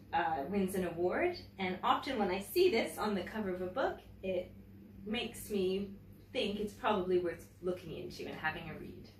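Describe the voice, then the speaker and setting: A woman teacher reading a picture book aloud to young children, with expressive, deliberate phrasing and chatty asides to her listeners. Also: mid-range voice. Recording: roomy, distant mic, faint background noise.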